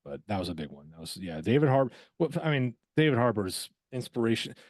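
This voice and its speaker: A man who works as a voice actor, talking casually as a podcast guest, reflective and halting, often repeating words as he finds his way. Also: fairly low pitch, big pitch swings, a little mellow, no room sound, studio quality.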